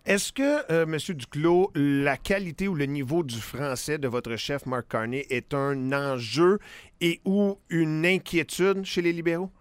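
A man's voice: on the high side, unhurried, clean tone, no echo. Quebec French male interviewer, dry sound. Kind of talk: political interview questioning.

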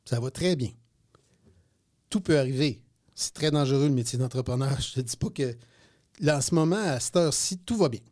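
A man's voice: mid-range voice, moderate pace, long pauses, a little mellow. A man in his fifties with a Quebec French accent, telling stories as a guest in a radio interview, hesitating and repeating words. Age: 50s. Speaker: guest entrepreneur. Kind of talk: radio interview, casual storytelling.

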